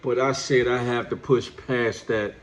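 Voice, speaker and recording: A man's voice: mid-range voice, steady tone, unhurried, low-quality recording. A man with a stuffed-up, nasal voice from allergies, giving a preachy direct-address commentary and calling the person he lectures "my brother.". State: congested from allergies. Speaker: YouTube commentator.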